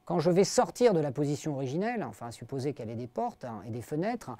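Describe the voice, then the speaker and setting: A man's voice: a little high, very animated, mellow, no room sound. A middle-aged man giving an academic philosophy lecture, explaining carefully to his audience with frequent hesitations and fillers. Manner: hesitant, full of pauses and fillers; explanatory, addresses listeners directly.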